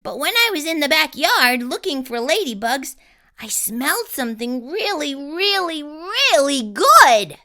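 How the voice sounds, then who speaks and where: Young boy narrator for a picture book, read by a woman: earnest, slow, very animated. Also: high-pitched, exaggerated voice. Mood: scheming, puzzled. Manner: expressive, drawn-out storytelling.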